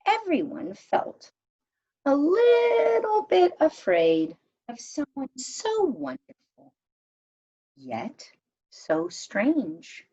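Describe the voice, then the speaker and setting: A woman reading a children's picture book aloud in a measured, expressive storytime manner, as if to young listeners. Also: high-pitched, highs cut off. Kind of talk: read-aloud storytime narration.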